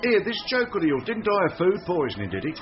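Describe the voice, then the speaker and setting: An actor playing a chatty, blustering, slightly shifty British holiday camp manager in a radio comedy mystery. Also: male voice, high voice, huge pitch swings, quick pace, faint music behind. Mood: matey. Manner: colloquial, jokey, blustering. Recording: dull lo-fi radio drama.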